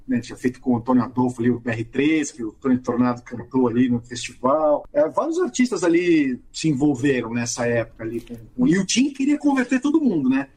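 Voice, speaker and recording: Husky-voiced man telling music history. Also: mid-range voice, a little fast, hardly any pauses. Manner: relaxed, frequent tag questions. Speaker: guest expert on music history. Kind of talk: conversational storytelling.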